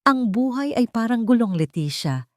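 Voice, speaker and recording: A woman voicing an older market vendor woman, quiet and understanding. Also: a little high, big pitch swings, moderate pace, dry recording, studio quality, pitched above the performer's own voice. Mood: gentle. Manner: gentle, understanding.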